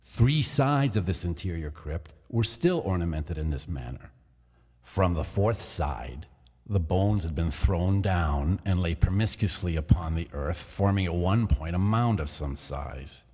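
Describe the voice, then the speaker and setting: A man with a very low voice reads a gothic tale in a measured, theatrical manner. His delivery swings with lively pitch, and a long reverb hangs behind him. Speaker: narrator. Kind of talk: dramatic reading of a gothic tale.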